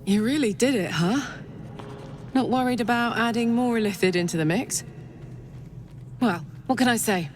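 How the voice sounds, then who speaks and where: An actress playing a gruff but reasonable companion in a fantasy video game, talking in a casual, blunt way. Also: female voice, a little high, moderate pace, long pauses, soft background music, natural voice. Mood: wary, teasing.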